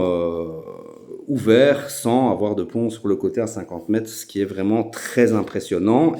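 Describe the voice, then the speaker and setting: Belgian guy w/ belgian french accent, lowish mellow voice, rambling laid-back vlog talk full of ums. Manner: hesitant, many filler pauses. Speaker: YouTube channel host. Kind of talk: casual introductory vlog.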